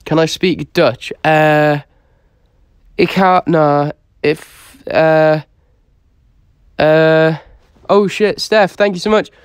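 A man's voice: fairly high pitch, steady tone, drawn-out words, clear, resonant tone, no room sound, clean studio sound. A young man with a Dublin Irish accent chatting casually on a livestream, answering comments in a laid-back, slangy way. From Dublin, Ireland. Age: young adult. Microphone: phone held close to the face.

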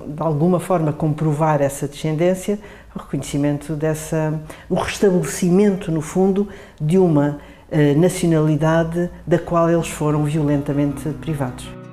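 A middle-aged woman with a European Portuguese accent explaining in an interview, halting and often rephrasing. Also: sits low, steady tone, mellow. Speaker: interviewed expert. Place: bright room by a window, indoors.

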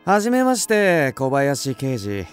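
Affable oddball young detective, radio drama, takes his time, swoopy pitch. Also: huge pitch swings, unhurried, soft background music. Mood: intrigued. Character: quirky, affable young male amateur sleuth, intellectual.

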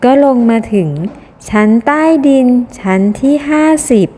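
A high-pitched woman tells a children's story at a slow pace. She keeps her phrasing simple for very young listeners, and the recording carries a long hall-like reverb. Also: expressive, dark tone. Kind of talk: scripted children's storybook narration.

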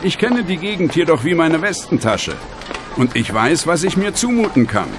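A man's voice: fairly high pitch, big pitch swings, moderate pace. An actor playing an assured, cheerful and stubborn older blind man in a wheelchair, in an audio drama. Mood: cheerful, determined. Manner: assured, reassuring, wistful. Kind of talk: German audio drama acting. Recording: noisy outdoor ambience behind.

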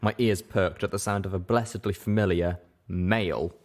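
Deep-voiced man reading a story. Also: fairly even intonation, normal speed. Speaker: audiobook reader. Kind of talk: scripted narration of fan fiction.

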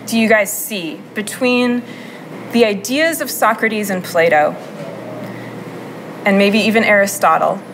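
A woman teaches a class in a medium-pitched voice. The room around her is clearly noisy. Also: measured pace, pauses often. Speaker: philosophy teacher.

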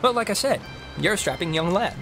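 A man playing a chatty, cheeky, excitable teenage boy in a naturalistic video game. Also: high voice, fairly quick, vocal fry, soft background music, heavily acted. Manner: playful, jokey, excitable.

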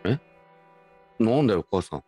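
A man acts out an ordinary grown son who sounds puzzled, for a radio drama. His voice is low, with some music behind it. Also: big pitch swings, moderate pace, mellow, breathy edge. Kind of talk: radio drama acting in Japanese.

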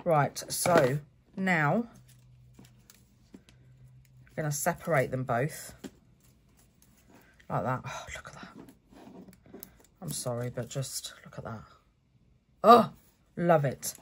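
Crafter host, woman, low-ish voice, stuffy nose, chatty and self-correcting. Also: animated, slow, pauses often, a little hiss or hum. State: stuffy from a cold. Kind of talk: relaxed craft tutorial narration. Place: home craft desk, indoors.

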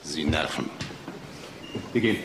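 Stern controlling dad in a crime radio play, very deep voice, curt, faint ambience behind. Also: male voice, background noise. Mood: stern, gruff. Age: middle-aged. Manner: slow, curt, halting. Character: stern, controlling, grieving father of a grown daughter. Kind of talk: German crime radio drama acting.

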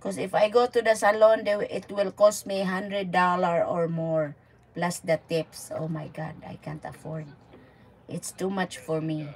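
A woman's voice: on the low side, flat delivery, unhurried, low-quality recording. Filipino woman, 40s, chatty and giggly host on a phone live stream, faint background hiss. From Philippines. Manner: giggly, chatty, mixing in Tagalog words. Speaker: channel host. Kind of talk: casual live stream chat. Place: indoors in a small RV. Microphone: phone at arm's length.

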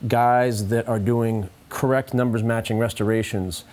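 Male shop expert in his thirties, average pitch, plain informative delivery, conversational. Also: fairly even intonation, measured pace, a little mellow. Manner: matter-of-fact, informative. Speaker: pump rebuilder, shop expert. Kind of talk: casual explanatory interview. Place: indoor auto rebuilding workshop.

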